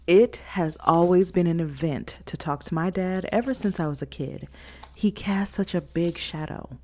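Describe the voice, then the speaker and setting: A woman's voice: fairly low pitch, moderate pace, background noise, lightly acted. A woman playing a serious, guarded monster hunter in an audio drama, speaking in a matter-of-fact, brooding way. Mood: troubled.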